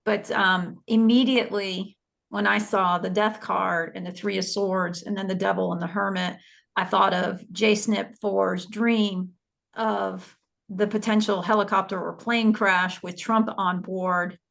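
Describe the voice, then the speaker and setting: A woman's voice: mid-range voice, little pitch movement, measured pace, vocal fry, a bit bright. A woman on a tarot panel over a video call, speculating confidently about her reading with trailing fillers. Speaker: tarot reader co-host. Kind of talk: casual spiritual panel chat.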